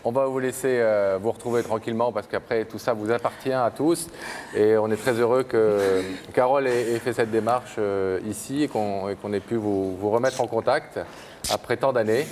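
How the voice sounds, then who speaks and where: A man hosting a television show, gently guiding guests with frequent hesitations. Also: lowish voice, fairly even intonation, moderate pace, long reverb tail, a little hiss or hum. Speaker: TV show host. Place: TV studio set.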